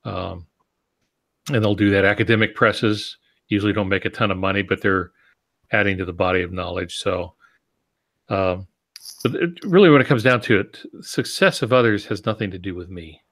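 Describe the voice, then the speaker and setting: A man's voice: on the low side, moderate pace, fairly bright tone. A man co-hosting a podcast, giving conversational advice in a plainspoken, matter-of-fact way. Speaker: podcast co-host.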